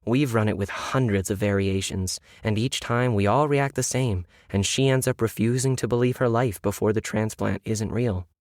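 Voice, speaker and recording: A grave, resigned doctor in a science fiction audiobook , a woman played by a male reader. The voice is fairly low and measured, matter-of-fact in delivery, and recorded dry. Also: no echo, natural voice. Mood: grave, certain. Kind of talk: audiobook character dialogue.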